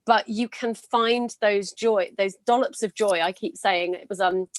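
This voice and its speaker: Gravelly-voiced woman host, dry sound. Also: medium pitch, a little slow, no room sound. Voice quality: slightly gravelly voice. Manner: earnest, encouraging, storytelling. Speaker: podcast host. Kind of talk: casual interview chat.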